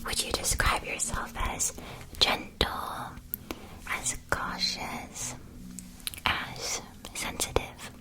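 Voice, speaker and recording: Slow whispering gentle witch shopkeeper played by a woman. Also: rough recording. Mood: gentle, informative. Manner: soft, soothing, halting with reassurance. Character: gentle, reassuring witch school supply shopkeeper woman, familiar expert. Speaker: ASMR roleplay performer. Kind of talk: whispered ASMR roleplay, unhurried.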